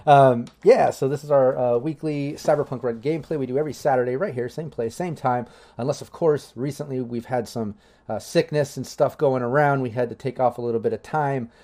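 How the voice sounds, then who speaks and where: A man's voice: medium pitch, brisk, dark tone. A man hosting a live tabletop game stream, talking casually with many fillers. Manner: chatty, loose, many fillers. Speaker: game master and stream host. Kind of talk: casual livestream chat.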